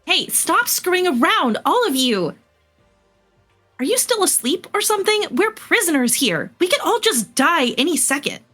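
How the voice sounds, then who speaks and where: A woman's voice: extremely high pitch, sing-song, moderate pace, sharp tone, soft background music, heavily acted. A woman in an amateur anime fan dub playing a bossy, impatient teenage girl. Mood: scolding, alarmed.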